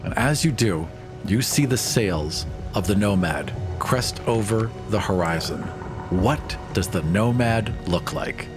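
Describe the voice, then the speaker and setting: A man's voice: on the low side, lively intonation, measured pace. A man narrating as game master of a tabletop role-playing game, describing scenes and prompting the players. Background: background music.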